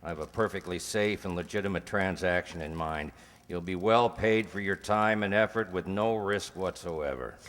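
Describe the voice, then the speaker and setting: A man acting in an old-time radio crime drama as a polite, persistent drug dealer pitching like a salesman. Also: normal speed, fairly bright tone. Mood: insistent, pleading. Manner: polite, insistent salesman's patter. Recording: long reverb, hall-like room.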